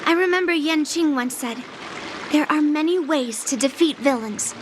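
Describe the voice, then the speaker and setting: A woman playing a composed, encouraging young female sword mentor in an anime-style game, speaking in a measured way. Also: high voice, lightly acted. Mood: calm, encouraging. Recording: clear ambient noise behind voice.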